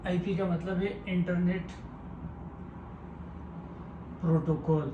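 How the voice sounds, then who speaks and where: A man giving a step-by-step technical tutorial, explaining simply and repetitively in halting phrases. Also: high voice, fairly even intonation, highs cut off. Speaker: technical instructor. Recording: echoey room with clear background noise.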